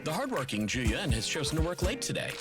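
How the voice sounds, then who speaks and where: A man playing a theatrical, playful narrator in a game trailer, introducing a heroine over loud music. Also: fairly high pitch, wildly swooping pitch, fast. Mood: playful, wry.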